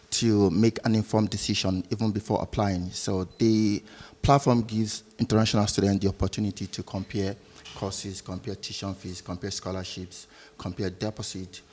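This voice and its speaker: Male, Nigerian accent, lowish voice, jovial entrepreneur being interviewed live. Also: darkish tone. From Lagos, Nigeria. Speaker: guest entrepreneur at a business event. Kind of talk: live interview answers to an audience.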